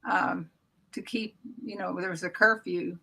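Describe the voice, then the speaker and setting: A woman's voice: fairly low pitch, fairly even intonation, measured pace, vocal fry, sharp tone, breathy edge. An American woman in her seventies from Oklahoma, casually reminiscing as a guest author on a video call. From Oklahoma, United States. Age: 70s. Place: small room at home, indoors. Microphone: computer mic on video call.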